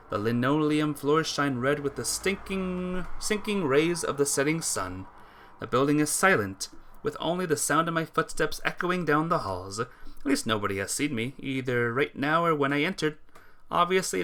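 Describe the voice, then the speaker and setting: A man reading a visual novel aloud as a let's play narrator, with expressive, earnest storytelling delivery. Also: fairly high pitch.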